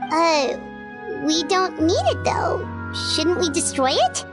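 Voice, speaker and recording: A woman voicing a small childlike fairy companion, earnest and outspoken, with a squeaky voice in a video game. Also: huge pitch swings, slow pace, exaggerated voice. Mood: hesitant, cheeky. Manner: squeaky, refers to self in third person. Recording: faint music behind the voice.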